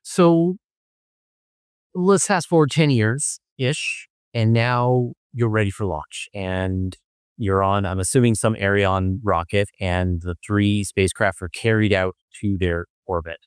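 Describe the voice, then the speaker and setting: Male interview host, slightly low and very clean voice, conversational questioning, dry studio-tight sound. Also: steady tone, slow pace, clear, resonant tone, no room sound.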